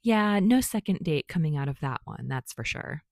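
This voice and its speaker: A woman's voice: low voice, very animated, moderate pace, gravelly, a little mellow, no room sound, studio quality, heavily acted. A woman voicing a cheerful, playful young male barista with a teasing, sing-song manner in a story reading. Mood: amused, easygoing.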